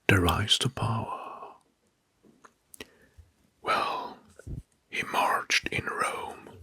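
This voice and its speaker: A man narrating in a whispered ASMR style, slow and deliberate with a breathy voice, close to the mic. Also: lowish voice, no echo. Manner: slow, deliberate, repeats words softly. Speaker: host of ASMR history video. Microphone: close mic, dry.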